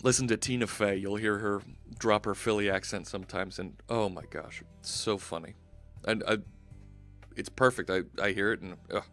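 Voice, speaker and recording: A man's voice: lowish voice, steady tone, moderate pace, a bit bright, faint music behind. A man in his forties with a Philadelphia accent, hosting a casual coding live stream through a headset and thinking aloud with lots of fillers. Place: home studio, indoors.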